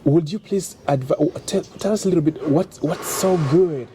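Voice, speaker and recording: A man's voice: a little high, normal speed, dark tone. A man interviewing guests in a casual talk, encouraging them with short affirmations. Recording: faint background noise.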